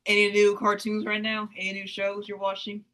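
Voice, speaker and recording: A young woman hosting a casual interview, speaking haltingly with many fillers and repeated words. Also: mid-range voice, completely flat delivery, sharp tone. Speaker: interview host. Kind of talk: casual video-call interview.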